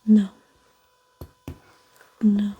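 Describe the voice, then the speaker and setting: A woman speaking softly in an ASMR roleplay, giving brief replies as a patient being examined. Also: medium pitch, monotone, very slow, phone-quality sound, slight background noise.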